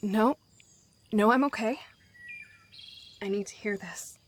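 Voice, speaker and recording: A woman's voice: medium pitch, slow. A woman playing an anxious, earnest young survivor in an audio drama, asking questions hesitantly but with resolve. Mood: shaken, resolute. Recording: faint background noise.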